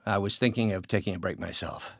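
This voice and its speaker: An actor playing a brilliant, bitter middle-aged scientist turned villain in a video game, with precise, articulate, grandiose delivery. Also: male voice, low voice, fairly even intonation, fast talker, vocal fry, a bit bright, no room sound, studio quality, full character voice. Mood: mild, eager. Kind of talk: dramatic game character voice lines.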